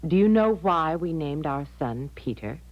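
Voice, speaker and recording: A grateful, earnest wife and mother in an old radio play. Her voice is low and close, without room sound, and her phrasing is deliberate. The recording is slightly dull. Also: expressive, no echo. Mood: tender, grateful. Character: earnest married mother, grateful and reassuring believer. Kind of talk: 1970s radio drama acting.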